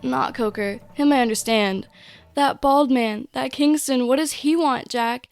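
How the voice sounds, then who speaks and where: A woman's voice: high-pitched, unhurried, vocal fry, dry recording, soft background music. A woman playing an anxious young hostage in radio-style audio drama dialogue. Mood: worried, urgent.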